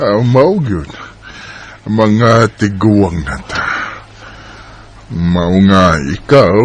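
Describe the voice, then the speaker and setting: Man acting expressive, slow-talking old Bisaya husband. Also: deep voice, husky, full character voice. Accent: Filipino Bisaya. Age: older. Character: affectionate elderly Filipino husband and father, gentle, stubborn. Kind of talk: sentimental radio drama acting. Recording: music under the voice.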